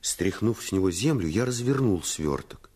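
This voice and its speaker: A man recounting his boyhood in a radio play. The voice is low and husky, with lively swings of intonation and an expressive, literary storytelling manner. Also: moderate pace. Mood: reflective, suspenseful.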